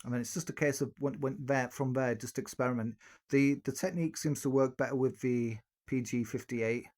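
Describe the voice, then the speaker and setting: Man, casual tutorial, rambling, fillers and restarts, handheld mic, mid pitch. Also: fairly even intonation, fairly quick, darkish tone. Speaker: video host giving singing mic tips. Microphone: handheld dynamic mic, tilted.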